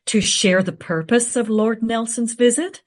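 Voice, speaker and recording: A woman's voice: a little high, steady tone, unhurried, close to an everyday voice. An actress playing a curious, polite female visitor in a radio play, coy and inquisitive.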